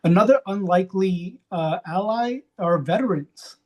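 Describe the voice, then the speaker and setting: A man from Queens, New York, speaking off the cuff as a panelist in a reflective, halting way, punctuated by checks for agreement. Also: high voice, a little mellow. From Queens, New York, USA. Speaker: panelist, community organizer. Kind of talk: unscripted panel talk.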